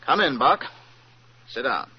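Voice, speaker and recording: A man's voice: medium pitch, sing-song, a little slow, noisy background. A calculating crime boss of old radio drama. He gives curt orders in a mid-range male voice. The sound is dull, like an old broadcast recording.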